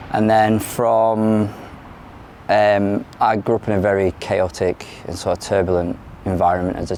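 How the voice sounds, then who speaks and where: A man in his thirties with an English accent from London, born in Ireland, speaking frankly in a candid interview, often pausing and restarting his sentences. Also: on the low side, a little mellow, slight background noise. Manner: frank and plain-spoken, with frequent hesitations and restarts. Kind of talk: candid personal testimony.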